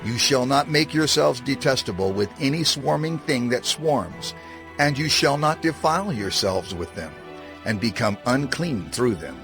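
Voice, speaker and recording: A man reading scripture in a solemn, measured, declarative way, as dramatized narration. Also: mid-range voice, vocal fry, fairly bright tone. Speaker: scripture reader. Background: background music.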